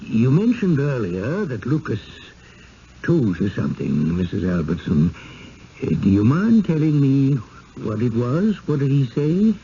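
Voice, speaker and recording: An actor playing a genteel, fussy, gently insistent village gentleman in a 1970s radio drama, speaking politely and deliberately. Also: mid-range voice, big pitch swings. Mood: calm explaining. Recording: dull, noisy old radio broadcast recording.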